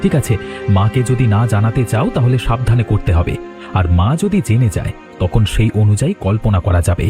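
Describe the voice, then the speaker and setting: A man voicing a supportive, gently teasing young husband and elder brother in a cartoon. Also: lowish voice, expressive, fairly quick, over music, natural voice. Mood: supportive, calm. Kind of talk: cartoon voice acting.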